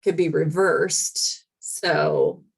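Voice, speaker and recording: Slow-talking low-voiced woman. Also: big pitch swings, vocal fry, husky. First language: English. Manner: thoughtful, many fillers and restarts, laughs often. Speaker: podcast guest, teacher and comedian. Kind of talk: casual interview chat.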